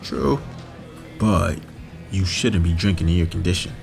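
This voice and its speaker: An actor playing a flirtatious, sheepish married hospital worker in a horror audio drama, casual and a little hesitant. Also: male voice, deep voice, unhurried, creaky, over music. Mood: friendly flirting.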